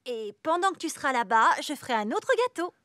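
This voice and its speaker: A woman in a French cartoon dub playing a kindly, patient mother with a gentle manner. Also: sits high, expressive, a little fast. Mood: gentle, reassuring.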